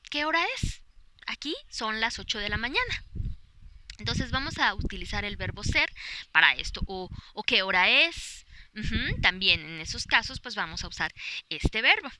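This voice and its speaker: Bright Mexican woman teaching outdoors. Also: on the high side, big pitch swings, slight background noise. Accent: Mexican Spanish. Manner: patient, explains slowly with many fillers and examples. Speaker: Spanish teacher. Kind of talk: outdoor podcast lesson. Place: outdoors in a park.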